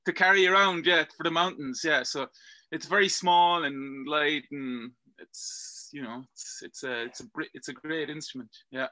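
Halting Irish-accented rock climber, bright voice. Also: male voice, fairly high pitch, low-quality recording. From Belgium. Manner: halting, repeats words, many "yeah"s. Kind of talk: casual interview chat.

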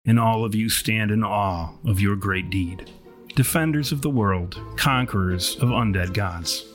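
A man's voice: on the low side, measured pace, faint music behind. A man working as game master, narrating a horror tabletop game in grandiose, wordy, wry language. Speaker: podcast writer and artist.

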